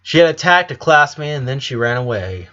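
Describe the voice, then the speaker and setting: A man's voice: mid-range voice, big pitch swings, brisk, bright, forceful tone, lo-fi sound. A man reading a scripted story aloud as an audiobook narrator.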